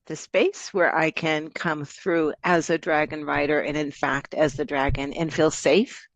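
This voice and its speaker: A woman's voice: deep voice, steady tone, measured pace, no room sound. An American woman introducing herself as a guest speaker on a video call, earnest and grateful, her talk wandering a little. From United States. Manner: earnest, grateful, slightly meandering.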